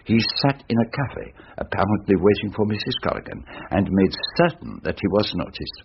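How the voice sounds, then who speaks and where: An actor playing a shrewd, fatherly, self-assured detective in a radio drama, speaking in a measured, courteous and theatrical way. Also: lowish voice, animated, vocal fry. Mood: explaining. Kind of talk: radio drama acting, explanatory monologue. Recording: dull lo-fi radio sound, noisy.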